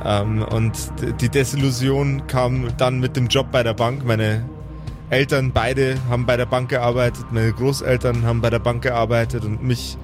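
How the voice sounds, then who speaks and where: A man's voice: medium pitch, flat delivery, normal speed. A man playing a tabletop game, improvising casually in character. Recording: loud music behind the voice. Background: music.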